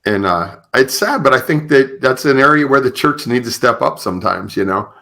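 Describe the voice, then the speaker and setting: A man hosting a podcast, telling stories conversationally in a halting way with repeated phrases. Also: medium pitch, animated, gravelly, bright, forceful tone. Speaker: podcast host.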